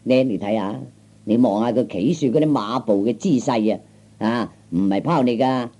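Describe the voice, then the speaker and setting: A man's voice: mid-range voice, moderate pace, warm tone, close to an everyday voice. A man playing a mild, conciliatory fellow with a Cantonese accent, speaking soothingly in old radio comedy style. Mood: calm boasting. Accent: Cantonese, Hong Kong or Guangdong. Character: mild, conciliatory grown man, slow peacemaker. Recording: dull old 1960s comedy record.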